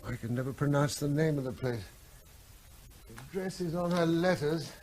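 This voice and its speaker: A grieving father, played by a man in a radio play, quiet and hesitant. His voice sits a little high. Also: normal speed, a little hiss or hum, natural voice. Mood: subdued. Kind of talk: radio drama acting.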